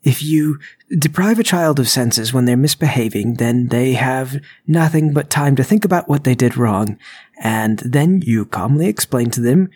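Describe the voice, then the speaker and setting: Man playing a burglar, flustered, bluffing, halting speech, rough husky voice, tabletop game character. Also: mid-range voice, lively intonation, a little mellow. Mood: bluffing, earnest. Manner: halting, stammering, over-explaining.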